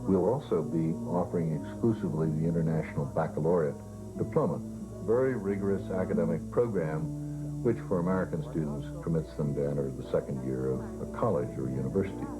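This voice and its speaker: A man's voice: very deep voice, fast talker, rough recording. A man earnestly explaining his college's plans in a documentary interview. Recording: documentary with loud music underneath.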